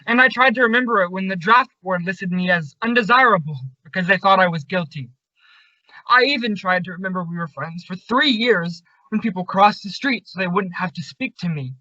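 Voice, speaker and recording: A resentful, wounded young man acted by a man in a vintage-style radio drama. He is terse and slangy, with a bright, forceful edge. The sound is dry and slightly muffled. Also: high-pitched, fairly quick, dry recording. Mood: bitter. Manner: curt, sardonic, slangy. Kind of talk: old-time radio suspense acting. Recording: slightly dull, muffled audio.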